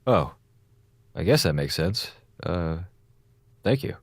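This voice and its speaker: Low voiced jittery space station officer, rambly and wry, lively pitch, bit of stammer. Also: male voice, takes it slowly, no echo, studio quality, exaggerated voice. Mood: sheepish, wry. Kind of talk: radio drama acting.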